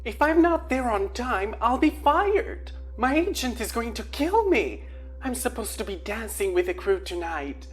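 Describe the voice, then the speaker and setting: A woman voicing a dramatic, flustered teenage girl witch who talks in a high-strung, theatrical way. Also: very animated, a little slow, soft background music.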